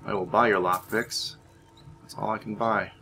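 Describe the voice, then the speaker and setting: A man's voice: on the low side, fairly even intonation, normal speed, sharp tone, faint music behind. A man giving casual, half in-character roleplay commentary over a video game, moving between muttered asides and theatrical remarks. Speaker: gaming video host.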